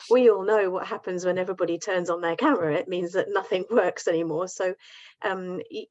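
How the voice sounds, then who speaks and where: A woman with a lowish voice thinks aloud on a video call, with frequent restarts and easy laughs. The call audio sounds dull and lo-fi. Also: darkish tone. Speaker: workshop participant. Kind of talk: online group discussion.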